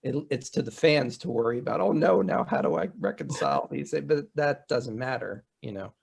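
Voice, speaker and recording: A man's voice: medium pitch, expressive, moderate pace, creaky, slightly rough. A man making points as a panelist in a casual discussion, emphatic and matter-of-fact.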